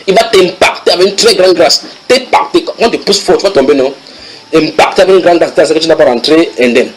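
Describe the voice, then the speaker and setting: A man preaching to a church congregation, his voice somewhat high for a man. He reads English passages aloud, translates them on the spot, and teases his listeners as he goes. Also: quick pace, a little hiss or hum, poor audio. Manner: animated, switches into English readings and translates, banters with congregation. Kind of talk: sermon teaching.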